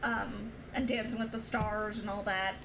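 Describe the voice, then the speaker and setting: A woman's voice: medium pitch, flat delivery, normal speed, noisy background. A young woman streamer casually chatting and telling stories while gaming, laughing often. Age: young adult. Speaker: streamer host.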